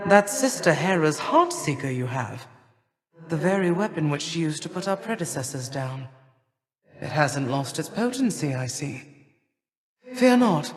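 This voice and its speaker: A woman's voice: on the low side, big-room sound. A woman playing a stern, imperious elder goddess in a video game, speaking formal, archaic lines with haughty, cold disdain. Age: older. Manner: haughty, formal, archaic diction with measured scorn. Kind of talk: theatrical video game character acting.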